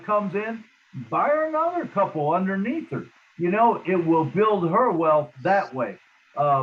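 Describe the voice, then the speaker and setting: Man host, chatty, easygoing, tinny phone-like sound, fairly high voice. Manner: easygoing, chatty, calls guests in. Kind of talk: casual group call.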